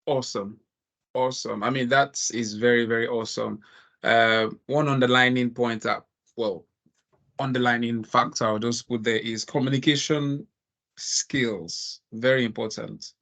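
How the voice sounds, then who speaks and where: A man with a Nigerian accent touched by American turns of phrase leads an online meeting over a video call. His voice sits at a middling pitch, and he laughs easily and talks in quick bursts. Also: fairly even intonation, a bit bright. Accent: Nigerian with some American influence. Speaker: webinar host.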